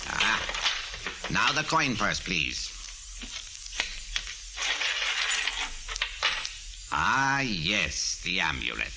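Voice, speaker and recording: An actor in 1940s radio style playing a megalomaniac cult villain, grandiose, pompous and coldly polite in formal, theatrical speech. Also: male voice, sits low, sing-song, slow pace, very noisy, heavily acted. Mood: smug, menacing. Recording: vintage 1940s radio with organ music.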